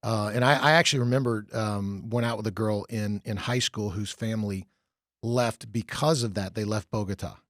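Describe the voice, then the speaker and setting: A man's voice: on the low side, steady tone, moderate pace, fairly bright tone, dry recording, studio quality. A man hosting a podcast, reading a scripted intro and then asking questions in a loose, rambling way. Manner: rambling, many restarts and fillers when asking questions. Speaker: podcast host. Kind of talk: scripted intro narration and casual interview.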